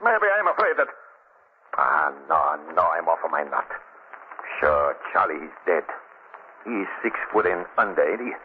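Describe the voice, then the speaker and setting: Low-voiced, gruff American gangster boss. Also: male voice, very animated. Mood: scared bluster. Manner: gruff, halting gangster slang. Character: frightened tough gang boss, man, bluffing and guilty. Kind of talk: 1940s radio acting. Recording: scratchy, tinny 1940 radio recording.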